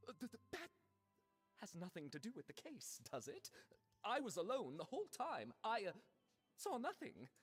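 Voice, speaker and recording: A woman voicing a vain, melodramatic male artist in a video game, with a pompous, theatrical, husky delivery. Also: a little high, huge pitch swings, normal speed, soft background music, exaggerated voice. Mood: nervous evasive. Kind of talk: game voice acting.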